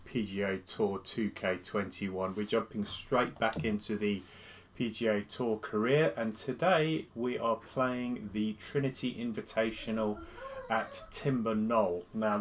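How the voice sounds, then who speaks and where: A male gaming streamer talks through his round in a casual, friendly ramble. His voice sits a little low and sounds congested from a cold. Faint noise hums underneath. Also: measured pace. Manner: chatty, relaxed. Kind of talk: casual live-stream commentary.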